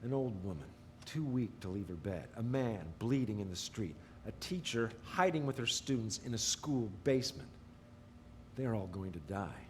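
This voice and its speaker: An actor playing a grave, theatrical propaganda officer and radio announcer, measured and rhetorical, in a game audio drama. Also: male voice, lowish voice, animated, a little mellow, a little hiss or hum, lightly acted. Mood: grave, bitter.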